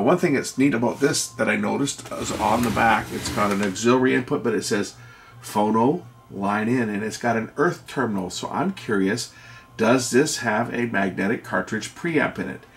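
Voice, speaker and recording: Low, creaky-voiced man thinking aloud. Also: normal speed, a little hiss or hum. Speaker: host of an electronics repair video channel. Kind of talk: unscripted hands-on product walkthrough.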